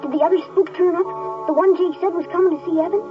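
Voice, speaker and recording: Wide-eyed small boy, piping high and eager, muffled vintage radio sound. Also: fairly even intonation, quick pace, music in the background. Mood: eager wonder. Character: eager, curious young boy listening to a story. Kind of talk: 1950s radio drama acting.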